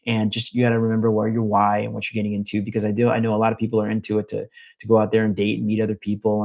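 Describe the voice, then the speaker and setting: A man's voice: fairly low pitch, creaky, darkish tone. A middle-aged man from the United States, chatting casually with a live chat in a rambling way, full of fillers and restarts. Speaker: vlogger answering a live chat.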